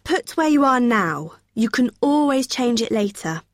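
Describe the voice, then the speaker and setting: A woman's voice: on the high side, lively intonation, a little slow, no echo, studio quality. A young woman playing a friendly, helpful student union helper who explains things in an acted everyday dialogue. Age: young adult.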